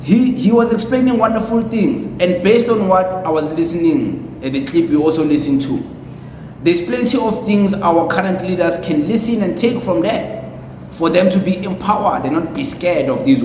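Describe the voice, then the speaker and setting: Male commentator, South African accent, fairly high voice, casual and repetitive delivery, recorded in a very echoey space. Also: normal speed, a little mellow. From Limpopo, South Africa. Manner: rambling, repetitive. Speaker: commentator presenting a clip. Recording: echoey, noisy room with rumble.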